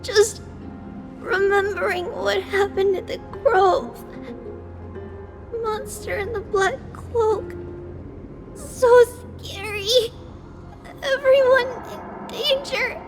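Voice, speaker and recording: A woman voicing a timid, fragile little girl in a video game, speaking in halting, childlike fragments. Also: very high voice, over music, exaggerated voice. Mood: frightened, tearful. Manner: halting, childlike fragments, refers to self in third person.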